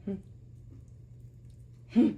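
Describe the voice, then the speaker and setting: A woman's voice: extremely slow, narrow, tinny audio. A woman hosting a casual, unscripted unboxing video, muttering to herself and thinking aloud as she goes. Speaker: host of gadget unboxing video. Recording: noisy recording with clicks.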